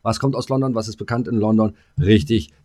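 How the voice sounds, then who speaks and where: A man co-hosting a podcast in casual, joking chat, rambling playfully and haltingly. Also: on the low side, mellow, no echo, clean studio sound. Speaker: podcast co-host.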